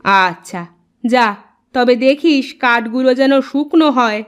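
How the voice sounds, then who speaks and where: A woman's voice: fairly high pitch, takes it slowly, clean tone. A woman voicing the bossy mistress of a big house in a cartoon, giving orders. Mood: instructing.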